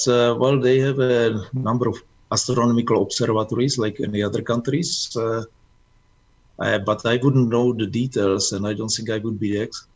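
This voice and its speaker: A man speaks in a slightly husky, mid-range voice. His delivery is halting and polite, like a guest speaker fielding questions at an online club meeting. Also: medium pitch. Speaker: guest presenter at an astronomy club. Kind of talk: informal question-and-answer after a presentation.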